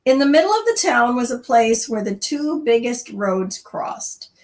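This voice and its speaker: Higher-voiced woman hosting storytime, slightly distant. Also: measured pace. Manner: conversational, expressive, addresses listeners directly. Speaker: church storytime host. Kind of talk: informal devotional talk with story reading.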